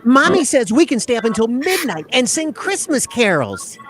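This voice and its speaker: Woman acting high, chirpy, eager small child. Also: very animated, normal speed, music in the background. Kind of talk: old-fashioned radio play acting.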